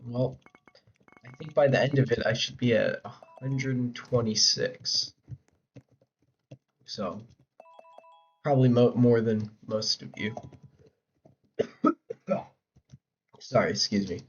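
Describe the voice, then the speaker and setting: A man streaming a game in casual chat who rambles with fillers and restarts, his voice hoarse from talking. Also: mid-range voice, slow, long pauses, faint music behind. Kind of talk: casual live-stream chat while playing. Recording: dull lo-fi mic with faint keyboard typing.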